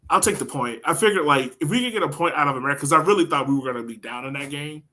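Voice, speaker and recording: Bright-voiced man chatting casually about sports. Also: fairly high pitch, racing. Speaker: soccer podcast co-host.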